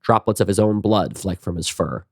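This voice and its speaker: A man's voice: low-pitched, animated, moderate pace, dry recording, studio quality. A man narrating a scripted audio drama in a casual, playful style.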